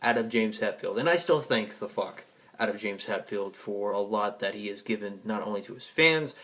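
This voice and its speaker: A man's voice: fairly low pitch, animated, moderate pace, a bit bright. A man giving a rambling personal monologue to an audience in an emphatic, defiant, profanity-laced way. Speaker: vlogger and music fan.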